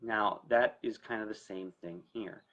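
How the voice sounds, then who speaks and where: A man's voice: fairly low pitch, fairly even intonation, unhurried, fairly bright tone, highs cut off. A man giving a conversational, explanatory talk as an attorney who hosts a show, speaking informally and with emphasis. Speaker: estate planning attorney hosting a story time talk. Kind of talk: informal educational talk to camera.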